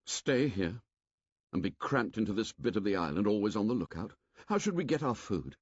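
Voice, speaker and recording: A man's voice: fairly low pitch, very animated, moderate pace, husky, no room sound, highs cut off. A man voicing an earnest boy leader who speaks firmly and reasonably. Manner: firm, earnest.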